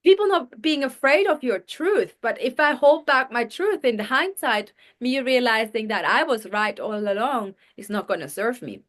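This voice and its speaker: Earnest woman with a higher voice. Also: expressive, moderate pace, few pauses. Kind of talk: casual personal chat.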